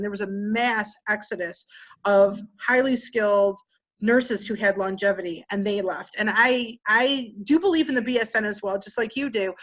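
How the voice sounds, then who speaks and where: A woman's voice: mid-range voice, fairly bright tone, rough recording. Chatty woman nurse telling her story. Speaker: nurse, interview guest. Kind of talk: conversational interview.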